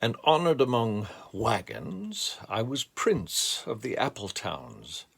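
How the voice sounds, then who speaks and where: A man with a gravelly voice speaking in a deliberate, measured way, as a poetry reader reflecting and reciting expressively. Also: mid-range voice, huge pitch swings, fairly bright tone. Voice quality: gravelly, creaky voice.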